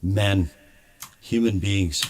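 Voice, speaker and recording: A man's voice: low-pitched, very slow, a little hiss or hum. A man streaming live while making music, talking casually to his chat in a rambling, aggrieved way with lots of stammered restarts. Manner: grumbling, aggrieved.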